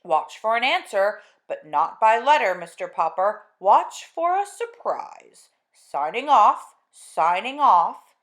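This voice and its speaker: A woman reading aloud and voicing an admiral calling over a faint radio broadcast, speaking slowly and loudly like an announcement. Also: mid-range voice, big pitch swings, voice pushed up. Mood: booming.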